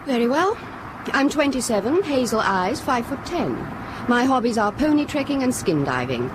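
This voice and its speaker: Cool, high-voiced young stewardess. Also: female voice, very animated, normal speed. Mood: icy, sardonic. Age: 20s. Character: cool, cutting stewardess of twenty-seven. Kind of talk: broadcast radio drama acting. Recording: noisy vehicle background.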